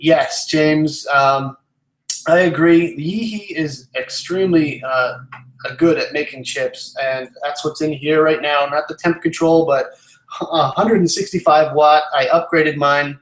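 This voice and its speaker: A man's voice: on the high side, roomy sound, low-quality recording. A man in his twenties hosting a live stream, chatting casually and answering viewers as he rambles and thinks aloud. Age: 20s. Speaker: live stream host, vape product reviewer.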